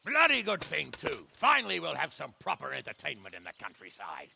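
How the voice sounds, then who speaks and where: Gruff mocking nobleman, rough gravelly tone, lively pitch, game cutscene. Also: fairly quick, a little hiss or hum, exaggerated voice. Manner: mocking, relishing a fight. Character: gruff boisterous battle-hungry middle-aged nobleman. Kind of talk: video game cutscene acting. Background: music score.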